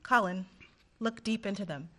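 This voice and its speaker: A woman's voice: medium pitch, moderate pace, a crowd in the background, natural voice. A woman playing a teasing, beguiling young female graduate student in an amateur radio play. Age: young adult. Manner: teasing, playful.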